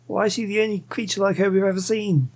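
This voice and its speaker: A woman's voice: medium pitch, fairly even intonation, fast, a little mellow. A woman giving casual, understated commentary as she plays through a video game, musing aloud. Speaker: gaming streamer.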